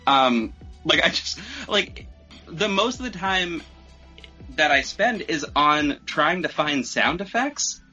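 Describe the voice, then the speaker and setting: A man's voice: on the high side, animated, slow pace, bright, forceful tone, dull audio, soft background music. A man chatting casually as a podcast guest who makes audio drama, rambling in an informal, self-deprecating way. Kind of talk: casual chat.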